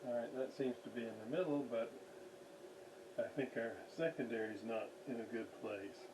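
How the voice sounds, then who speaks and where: A man giving an unscripted hands-on demonstration at a workbench, halting as he thinks aloud while he works. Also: medium pitch, steady tone. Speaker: radio restoration hobbyist, demonstrator. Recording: distant mic with faint background noise.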